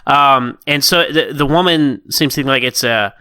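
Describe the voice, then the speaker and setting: A man co-hosting a casual podcast discussion, recapping stories in a rambling, self-deprecating way with animated asides. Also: medium pitch, normal speed, clean tone, no echo. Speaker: podcast co-host.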